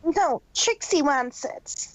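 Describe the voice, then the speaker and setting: A woman's voice: high-pitched, expressive, takes it slowly, no room sound. A woman voicing a theatrical, self-important female pony in a fan fiction reading. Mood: desperate, demanding. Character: theatrical female pony, greedy, speaks of herself in third person.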